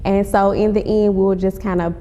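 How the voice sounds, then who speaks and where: A woman answers interview questions in a polite, conversational way. Her pitch sits in the middle range. The room rings with long reverb, and there is a clear rumble of noise behind her. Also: fairly even intonation, normal speed, warm tone. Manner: polite, says ma'am to the interviewer. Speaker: interview guest, playwright and actress promoting her stage play. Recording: echoey hall, clear rumbling background noise.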